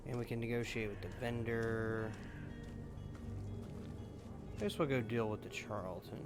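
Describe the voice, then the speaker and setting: A man talks casually through his video game playthrough. His voice is medium in pitch, and loud game music plays behind him. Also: steady tone, normal speed. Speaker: gamer commentating a playthrough.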